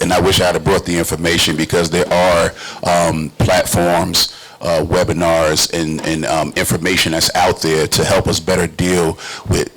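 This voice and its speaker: A man's voice: deep voice, fairly bright tone, rough recording. A man with a conversational interviewing style, talking as a panel interviewer. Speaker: panel interviewer, youth worker.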